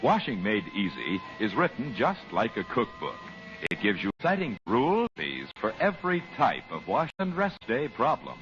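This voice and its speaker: Animated vintage male radio announcer. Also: mid-range voice, lo-fi sound. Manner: polished, emphatic sales delivery. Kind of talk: 1940s radio advertising read. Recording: band-limited old radio broadcast with music. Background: music.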